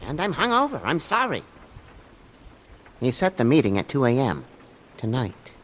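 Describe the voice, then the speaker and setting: A man's voice: mid-range voice, huge pitch swings, normal speed, heavy background noise. A man voicing a timid, hungover creature who works as an office archivist in a video game, meek and apologetic. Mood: sheepish.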